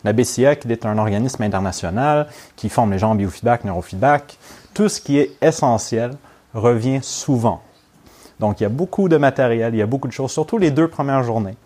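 A man's voice: medium pitch, expressive, a little fast, warm tone. A young man from Montreal with a Québécois French accent and English as his first language, opening a training course in a chatty, informal way and checking in with his class. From Montreal, Quebec, Canada. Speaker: neurofeedback trainer.

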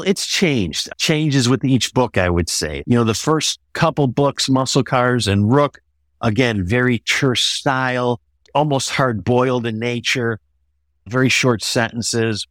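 A man's voice: mid-range voice, a little slow, fairly bright tone, no room sound. Male author in a dry, close interview. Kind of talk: interview conversation about own writing.

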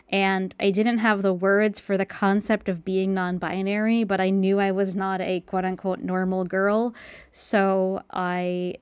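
A guest on a casual podcast, telling personal stories in a chatty way with plenty of fillers. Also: female voice, medium pitch, clear, resonant tone, no echo. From United States. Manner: chatty, storytelling with many fillers.

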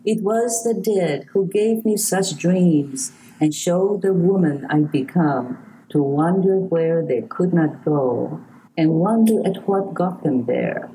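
Older Hawaiian poet, woman, low and slow, measured interview guest. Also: lively intonation, dark tone, slight background noise, low-quality recording. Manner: slow, measured, reflective storytelling. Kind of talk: poetry reading and interview answers.

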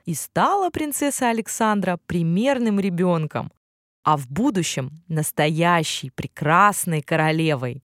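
Female fairy-tale narrator for children, highly animated intonation, very dry close sound. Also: measured pace, no echo. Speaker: storyteller.

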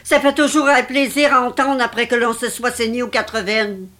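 A woman's voice: fairly high pitch, little pitch movement, moderate pace, fairly bright tone, natural voice. An actress playing an elderly, possessive mother, theatrical and self-pitying, in a dramatic script reading. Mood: wounded, reproachful. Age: older. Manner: theatrical, reproachful, martyr-like.

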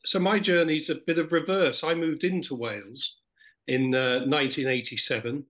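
A man's voice: fairly high pitch, steady tone, moderate pace, a bit bright. A middle-aged man talking as a podcast guest, hesitant and full of restarts. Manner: hesitant, many fillers and restarts. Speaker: guest, festival co-founder. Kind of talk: podcast conversation.

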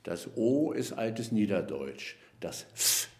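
A man, a linguist, explaining at length in a podcast interview, measured and lecturing, with frequent hesitation fillers. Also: on the low side, very animated, reverberant. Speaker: linguist guest.

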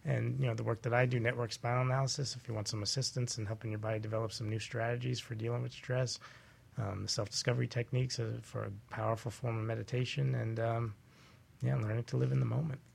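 A man talking casually as a health practitioner guest on a talk show, pausing often as he explains. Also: mid-range voice, little pitch movement, vocal fry, a little mellow, breathy edge.

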